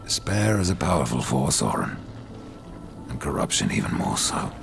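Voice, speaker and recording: A man playing a grim undead horseman in a video game, gravelly, terse and deadpan. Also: very deep voice, moderate pace, full character voice. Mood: determined. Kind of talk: video game cutscene acting. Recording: music behind the voice.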